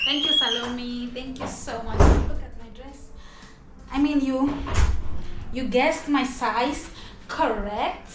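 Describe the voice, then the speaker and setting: Slow-talking excited woman vlogger. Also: sits high. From Nigeria. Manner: excited, gasping, softly talking to herself. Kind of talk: casual unboxing vlog. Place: home in Dubai. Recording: echoey room with clear background noise.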